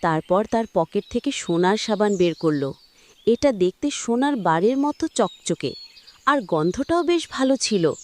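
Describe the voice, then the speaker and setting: A woman's voice: medium pitch, sing-song, measured pace. A woman narrating a scripted children's story as the storyteller.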